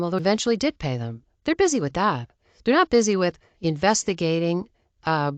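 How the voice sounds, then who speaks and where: A woman's voice: lowish voice, no echo. An American woman giving opinionated news commentary in an emphatic, argumentative manner, often posing rhetorical questions. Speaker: political commentator.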